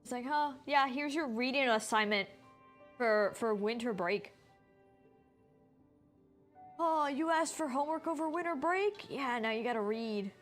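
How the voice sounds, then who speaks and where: A woman's voice: high-pitched, lively intonation, unhurried, long pauses, fairly bright tone. A woman streamer giving casual, chatty, informal commentary as she plays a video game. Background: game music.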